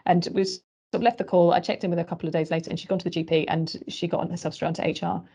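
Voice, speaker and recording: A woman speaks quickly on a roundtable. Her voice sits a little low for a woman, and she tells her anecdote briskly. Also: little pitch movement, warm tone. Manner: storytelling, brisk. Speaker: roundtable panelist. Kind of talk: casual panel discussion.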